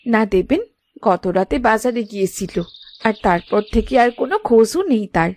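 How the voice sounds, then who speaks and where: A woman's voice: on the high side, normal speed, a little mellow, lightly acted. A woman voicing an anxious, devoted village wife in a cartoon story, speaking plaintively. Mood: worried. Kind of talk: Bengali cartoon story acting.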